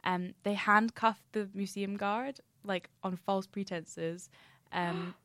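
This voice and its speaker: A young woman from Scotland with a mellow Scottish accent, chatting casually and in a rambling, giggly way as a podcast co-host. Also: mid-range voice, steady tone, slow, dry recording. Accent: soft Scottish. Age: young adult. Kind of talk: casual chat.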